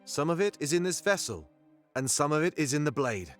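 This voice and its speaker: High-pitched, impassioned rebel leader. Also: male voice, very animated, brisk, fairly bright tone. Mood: earnest, rousing. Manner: grandiose, oratorical. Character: fervent male rebel leader, an anthropomorphic animal rallying his fighters. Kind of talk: dramatic video game speech. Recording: music behind the voice. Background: music.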